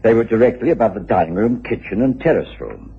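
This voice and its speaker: A genteel British man tells a mystery as the detective's observant companion. His voice is very husky and rises and falls expressively. The recording is old and muffled. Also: lowish voice, lively intonation, fairly quick, full character voice. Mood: measured, descriptive. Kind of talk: scripted first-person story narration in radio drama.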